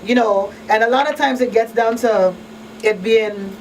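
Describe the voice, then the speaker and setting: A woman's voice: fairly high pitch, a little slow. A woman hosting a radio talk show, speaking conversationally and emphatically, repeating phrases to drive her points home. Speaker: talk show host and crisis counselor. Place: radio studio. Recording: noisy radio room, slightly distant mic.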